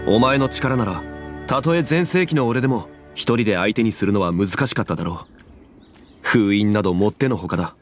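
An actor playing a calm, dignified immortal former god in a fantasy game, speaking in a composed and formal way. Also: male voice, fairly low pitch, brisk, soft background music, natural voice. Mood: solemn, fond. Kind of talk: Japanese game voice acting, dramatic dialogue.

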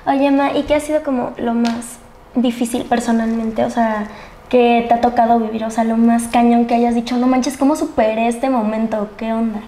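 A woman's voice: a little high, flat delivery, normal speed, warm tone. A young Mexican woman hosting a casual interview with informal, slangy phrasing. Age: young adult. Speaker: interviewer, host. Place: indoor studio set at a desk. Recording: roomy sound, noticeable background noise.

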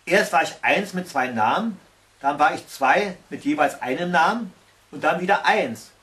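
A giant slug monster from a storybook voiced by a man, high in pitch and full of scorn. It boasts and mocks theatrically, like a predator too lazy to bother. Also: lively intonation, normal speed, sharp tone. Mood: taunting. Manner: mocking, theatrical.

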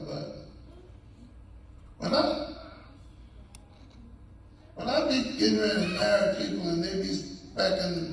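Drawling preacher-comedian in an echoey church. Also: male voice, a little high, vocal fry. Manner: drawling, folksy storytelling with loud punchlines. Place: large church sanctuary, on the pulpit stage. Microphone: stand mic, filmed by a phone from the pews. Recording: echoey sanctuary, phone from far off, noisy.

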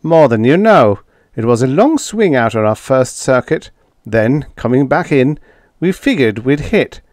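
A man voicing a gaunt, tired starship captain giving a brisk, plain account. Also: mid-range voice, animated, a little mellow, dry recording, clean studio sound, pitched above the performer's own voice, natural voice. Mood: tense. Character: gaunt, weary starship captain, steady and determined.

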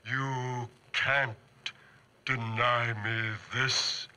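Slow, piercing pleading monster. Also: male voice, medium pitch, little pitch movement, pushed and bright, clean tone, heavily acted. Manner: halting, imploring, ominous. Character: pleading monster, lonely man-made creature, menacing when refused. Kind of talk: 1940s radio horror acting. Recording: vintage 1940s radio recording.

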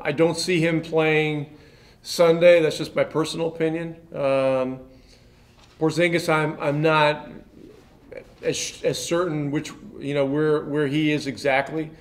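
Measured older man, head coach. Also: on the high side. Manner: measured, many fillers and restarts. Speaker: head coach at a press conference. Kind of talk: post-game press conference answers. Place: press room in front of a sponsor backdrop.

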